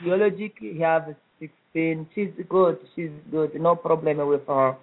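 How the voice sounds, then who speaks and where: A middle-aged woman with a Congolese accent answers interview questions over a thin, phone-like line. Her English is simple and halting, and she pauses often between phrases. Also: low voice, steady tone.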